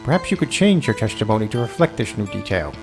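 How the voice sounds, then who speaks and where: A formal, authoritative courtroom judge. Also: male voice, fairly low pitch, very animated, quick pace. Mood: questioning. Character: solemn, mildly bewildered male courtroom judge. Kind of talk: video game courtroom dialogue. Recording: faint music behind the voice.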